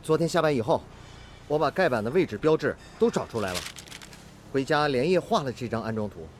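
A man's voice: mid-range voice, lively intonation, a little fast, a little hiss or hum, natural voice. A man acting a modest young factory apprentice in a radio drama, earnest and eager with a hesitant manner.

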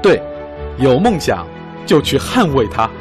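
A man's voice: mid-range voice, sing-song, unhurried, over music. A man in his thirties from Guilin playing a cycling traveler talking to his dog in a radio drama. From Guilin, Guangxi, China. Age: 30s. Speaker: cycling traveler, dog's owner.

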